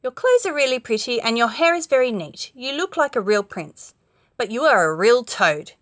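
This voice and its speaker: Lady doing a plucky clever princess for a kids story, high exclamatory voice, swoops around a lot. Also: sing-song, no room sound, studio quality. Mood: firm, scornful. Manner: emphatic, drawn-out, exclamatory. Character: plucky, clever young princess, bold and flattering. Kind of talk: children's storybook character voice.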